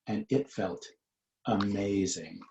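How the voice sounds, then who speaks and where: A man's voice: lowish voice, fairly even intonation, slow pace, mellow, echoey room, dull audio. A man reading a picture book to young children as its author, in a singsong, inviting way with questions for listeners.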